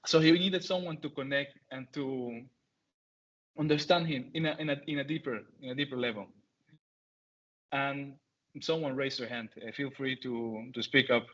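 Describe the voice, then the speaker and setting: A man's voice: medium pitch, normal speed, fairly bright tone, lo-fi sound. A man in his thirties from Venezuela, speaking English with a Spanish accent and many fillers and restarts, giving a talk over a video call. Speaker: guest speaker. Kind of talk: online talk.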